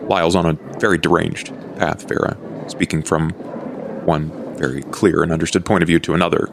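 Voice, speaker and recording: A man playing a grave, courteous vampire schemer who speaks in stiffly formal, deliberate phrases in a tabletop game. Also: low voice, little pitch movement, gravelly, background noise.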